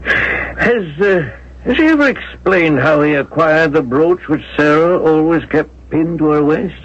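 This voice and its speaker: A husky old man in a 1970s radio drama, haunted and wistful with love for someone long dead. His delivery is halting and emotional, on a muffled old broadcast recording. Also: a little high, lively intonation, a bit bright. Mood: somber recollection. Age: older.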